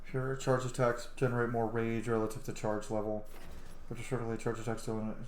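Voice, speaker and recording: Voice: medium pitch, level tone, fairly quick, darkish tone, a little hiss or hum. A streamer giving casual, chatty, reactive commentary on a video game, with muttered asides. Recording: roomy echo, game music behind.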